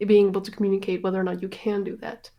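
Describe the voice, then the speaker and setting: A woman's voice: mid-range voice, level tone, rapid-fire, warm tone. A woman from Canada, born in Colombia, chatting casually as an interview guest. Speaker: interview guest, streamer and singer. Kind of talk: casual chat.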